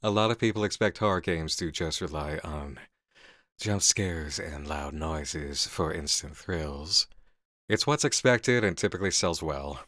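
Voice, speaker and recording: An actor playing a composed, cerebral male coworker in an ASMR roleplay drama, speaking persuasively with deliberate pauses. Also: extremely low pitch, lively intonation, a bit bright, dry recording, studio quality, exaggerated voice. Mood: thoughtful, persuasive.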